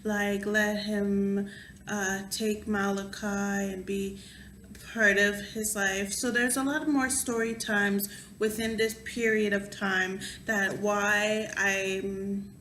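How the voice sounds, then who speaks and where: A young woman telling a personal story into her camera, slow and unhurried. Her voice is bright and fairly high, and she laughs often and acts out what people said. The room around her is echoey, with a faint hum behind. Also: level tone. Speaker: vlogger. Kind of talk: storytime vlog.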